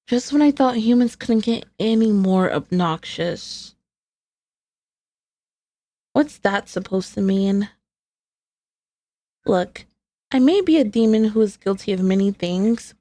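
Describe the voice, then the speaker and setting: A woman's voice: medium pitch, warm tone, dry recording. A woman playing a sassy, sneaky, possessive centuries-old female demon in an ASMR roleplay, speaking in a haughty, teasing, formally old-fashioned way. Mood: grumbling, indignant. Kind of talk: ASMR roleplay, scripted character acting.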